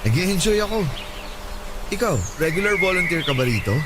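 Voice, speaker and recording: An actor playing a shy, introverted young man who speaks softly and a little hesitantly. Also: sits high, very animated, brisk, very noisy. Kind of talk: radio drama acting. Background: loud background music.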